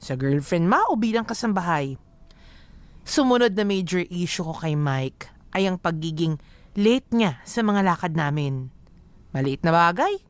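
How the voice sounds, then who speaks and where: A woman's voice: fairly low pitch, animated, unhurried, no room sound. An actress playing a weary young girlfriend, confiding her own story in Filipino radio drama narration.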